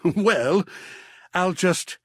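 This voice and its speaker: A man playing a grandiose, excitable living embodiment of art in a video game, husky and florid, with melodramatic theatrical delivery. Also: sits high, extremely slow, no room sound, studio quality, full character voice. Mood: eager, playful. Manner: florid, melodramatic, swooping between hushed awe and exclamation.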